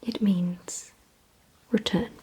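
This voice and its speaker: A woman whispering breathily in an ASMR roleplay, giving instructions deliberately and repeating words with pauses, close to the microphone. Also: mid-range voice, steady tone, warm tone, a little hiss or hum. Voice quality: breathy whisper, mostly unvoiced. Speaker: ASMR creator leading a word-guessing game.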